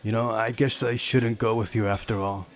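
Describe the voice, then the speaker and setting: A man's voice: lowish voice, steady tone, moderate pace, lightly acted. A man voicing an ordinary, skeptical young man, casual and dry, the hero of a visual novel. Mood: reluctant.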